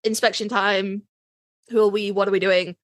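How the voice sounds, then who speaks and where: A woman's voice: medium pitch, level tone, normal speed, no echo, studio quality. A woman co-hosting a podcast at a desk microphone, talking casually in a slangy, salty way. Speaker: podcast co-host.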